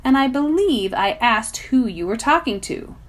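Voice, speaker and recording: A woman's voice: on the high side, lively intonation, moderate pace, pitched above the performer's own voice, lightly acted. A woman voicing a guarded, commanding teenage boy made of crystal in a storybook reading. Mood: suspicious, stern. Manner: commanding, suspicious, faintly irritated.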